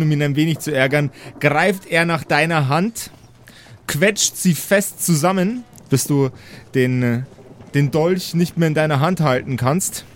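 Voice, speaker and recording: A man improvising narration as the game master of a tabletop role-playing game. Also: on the high side.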